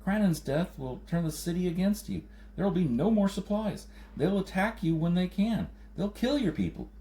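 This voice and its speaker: A man's voice: high-pitched, very animated, normal speed, warm tone, pitched above the performer's own voice. A man voicing an anxious, questioning wounded adventurer in a science fiction audiobook. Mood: alarmed, urgent.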